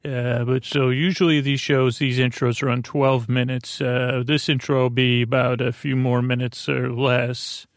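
Male bedtime podcast host: gravelly, flat intonation, soothing, halting and meandering delivery. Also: medium pitch, no room sound, clean studio sound. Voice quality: creaky, gravelly. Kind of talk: rambling bedtime-story podcast narration.

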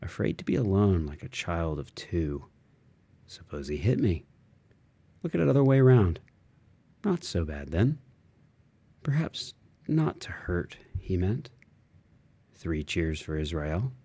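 A man reading a literary audiobook, voicing an interior monologue in musing, fragmented phrases with expressive shifts. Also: deep voice, slow pace, pauses often, slightly rough. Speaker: audiobook reader.

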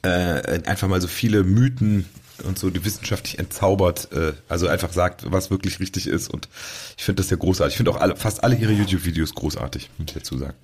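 Low-pitched, slightly husky man chatting. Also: quick pace, a little mellow. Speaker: podcast host. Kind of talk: casual podcast chat.

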